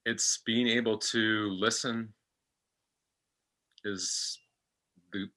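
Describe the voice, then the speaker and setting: Guy w really low voice, super crisp bright edge, talks slow n halting, repeats himself while thinking stuff through. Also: steady tone. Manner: halting, searching for words, repeating phrases. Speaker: podcast guest. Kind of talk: reflective personal discussion.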